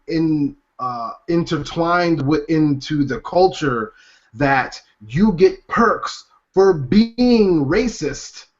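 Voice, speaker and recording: Male podcast co-host, slightly high voice, blunt and profane, dull lo-fi sound. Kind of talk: casual chat.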